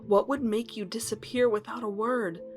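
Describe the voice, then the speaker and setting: A woman's voice: fairly high pitch, fairly even intonation, normal speed, faint music behind, close to an everyday voice. A woman playing a questioning wife searching for her missing husband in an audio drama. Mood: wistful, pleading.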